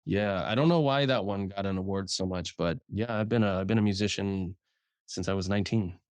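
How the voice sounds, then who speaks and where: A man, a writer and journalist, talking frankly in a casual interview, swearing now and then and restarting his sentences, speaking above his naturally deep voice. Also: fairly quick, no echo. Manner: frank, swears casually, repeats words when starting sentences.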